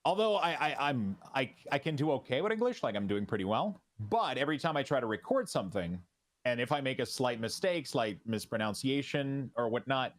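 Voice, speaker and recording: A man with a French Canadian accent, French his first language, chatting casually as a streamer guest in an interview, relaxed and halting with many restarts. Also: medium pitch.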